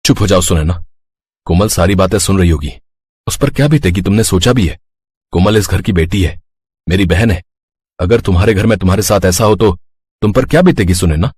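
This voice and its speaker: A man playing a conciliatory family man, a caring brother and husband, in an animated Hindi family story, with a husky voice. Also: low voice, a little fast, pauses often, no room sound. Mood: reproachful.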